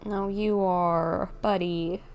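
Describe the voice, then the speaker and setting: Slow-talking woman streamer. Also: fairly low pitch, steady tone, darkish tone, slight background noise. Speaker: game streamer. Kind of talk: casual live gameplay reaction.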